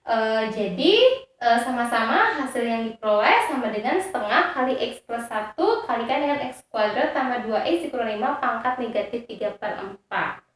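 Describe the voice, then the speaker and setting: Female teacher, slightly high voice, didactic step-by-step delivery with frequent check-ins, recorded in a very reverberant room. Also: lively intonation, normal speed. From Indonesia. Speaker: high school math teacher. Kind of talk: step-by-step lesson explanation.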